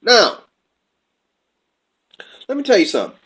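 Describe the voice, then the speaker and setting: Casting note: man from the United States, high-pitched, loose rambling commentator with a churchgoer's flow. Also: huge pitch swings, a little slow, a bit bright, slightly rough. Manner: rambling, talks from notes, puts on quoted voices. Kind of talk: casual monologue commentary.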